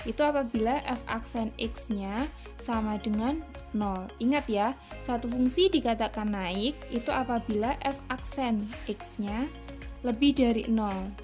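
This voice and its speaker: A woman's voice: a little high, unhurried, darkish tone, faint music behind. A woman teaching a math lesson to students, explaining step by step. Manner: patient, explains step by step with frequent "ya".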